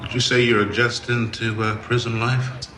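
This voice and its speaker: An actor playing a blunt, probing prison doctor with hesitant phrasing in a television drama. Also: male voice, medium pitch, flat delivery, moderate pace, noisy background, close to an everyday voice. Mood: probing, frank. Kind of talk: naturalistic television drama acting.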